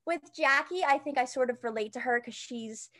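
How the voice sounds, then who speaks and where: A young woman with a high-pitched voice, chatting as an interview guest, with many fillers and restarts. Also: normal speed, a bit bright. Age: young adult. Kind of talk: casual interview chat.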